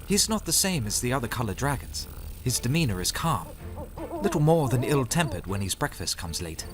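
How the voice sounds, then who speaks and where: An actor playing a calm, courteous knight in a fantasy audio drama, speaking in an earnest, measured way. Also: male voice, mid-range voice, music in the background, natural voice. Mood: explaining. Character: calm, courteous male knight and dragon rider.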